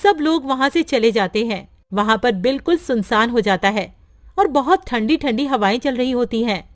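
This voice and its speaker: A woman narrating a cartoon story for children in a gentle, steady manner. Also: fairly high pitch, normal speed, no echo, studio quality.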